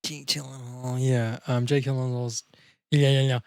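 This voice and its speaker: A man with a medium-pitched voice talks casually as a podcast co-host. The sound is close and dry. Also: level tone, moderate pace, vocal fry, darkish tone, dry recording.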